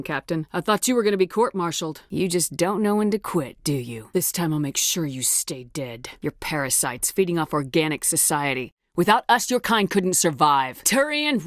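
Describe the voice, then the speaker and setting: A woman's voice: mid-range voice, sharp tone, no echo, studio quality. An actress playing a tough, resolute female military commander in a sci-fi video game, speaking with firm, clipped authority. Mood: defiant.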